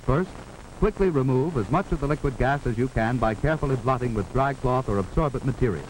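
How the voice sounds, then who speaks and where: An American man narrating a 1940s instructional film in a formal, authoritative, measured way, on an old film soundtrack. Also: mid-range voice, animated, a little hiss or hum, poor audio. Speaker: narrator of a wartime civil defense instructional film.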